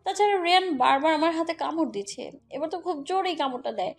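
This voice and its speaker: A woman's voice: sits high, expressive, quick pace. A woman narrating a romantic audio story in the first person, with scripted delivery. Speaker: story narrator, also voicing the heroine. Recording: background music under voice. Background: music.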